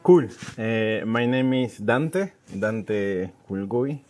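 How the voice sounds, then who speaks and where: A middle-aged man with an Argentine accent, a native Spanish speaker, chatting casually and haltingly as a tango dancer being interviewed. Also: on the low side, animated, a little mellow, faint music behind. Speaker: professional tango dancer and teacher, interview guest. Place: outdoors in a garden. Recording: noisy outdoor ambience.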